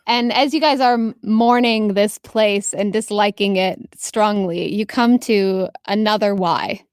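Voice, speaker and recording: A woman's voice: mid-range voice, fairly even intonation, a little slow, clear, resonant tone, dry recording. Woman game master, dry close sound. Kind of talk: narrating a tabletop game.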